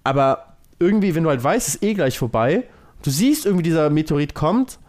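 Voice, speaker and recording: A man with a slightly high voice talks very quickly in a casual podcast conversation. He thinks aloud, rambling from one loose clause to the next. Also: animated, a little mellow. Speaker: podcast co-host.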